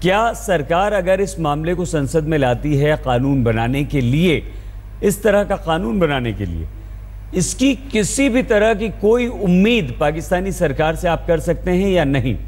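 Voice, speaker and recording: A man's voice: a little high, sing-song, a little slow, darkish tone, long reverb tail. A man working as a television news anchor, summarizing and putting insistent questions to a guest.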